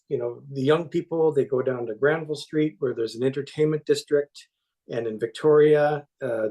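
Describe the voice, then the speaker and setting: A man, a councillor, speaking against a motion in council debate in an earnest, halting, self-deprecating way. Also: medium pitch, dark tone. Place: council chamber.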